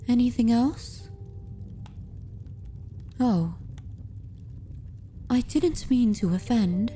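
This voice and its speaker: A woman playing an aristocratic vampire mistress in an ASMR roleplay, predatory yet consoling, speaking formally and deliberately in a soothing way. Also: mid-range voice, mellow. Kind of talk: ASMR roleplay, scripted dramatic monologue.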